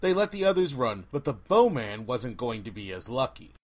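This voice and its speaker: A man reading a fantasy novel aloud as an expressive audiobook narrator. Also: medium pitch, moderate pace. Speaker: audiobook reader. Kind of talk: expressive scripted story narration.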